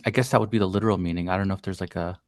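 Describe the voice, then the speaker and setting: A man with a low voice answers a question haltingly. He thinks aloud and fills the gaps with ums and likes. The sound is dry and close. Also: fairly even intonation, no echo. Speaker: student.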